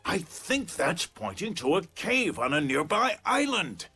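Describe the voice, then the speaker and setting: An earnest gentleman treasure hunter from an adventure game. His voice is bright and a little high, with a dramatic, swooping delivery over soft music. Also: huge pitch swings, measured pace, heavily acted. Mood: weary, grateful. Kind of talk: video game character acting.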